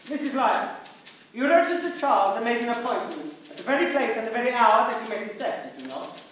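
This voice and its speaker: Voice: medium pitch, very animated, brisk, a bit bright. An actor playing a formal, insistent detective questioning a lady in a stage radio play. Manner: formal, pressing, interrogating. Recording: very echoey hall, distant, faint hiss.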